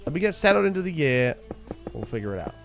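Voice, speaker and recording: Gamer guy with a sore raspy voice, pitch jumping all over, faint music behind. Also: big pitch swings, fast talker, a bit bright. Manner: bantering, wry, teasing chat members. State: hoarse, sore voice. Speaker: streamer. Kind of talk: casual chat with viewers.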